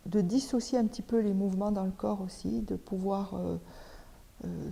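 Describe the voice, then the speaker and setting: Woman yoga teacher talking ppl thru relaxation step by step, really soft dark tone, kinda high, pauses. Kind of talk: guided yoga nidra instruction. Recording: long reverberant hall echo.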